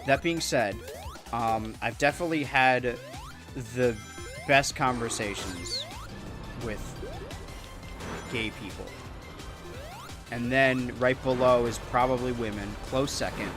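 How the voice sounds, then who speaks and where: A young American man with a monotone voice, chatting loosely while streaming a video game, rambling with many restarts. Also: medium pitch, slow, long pauses, over music. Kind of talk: casual gameplay chat.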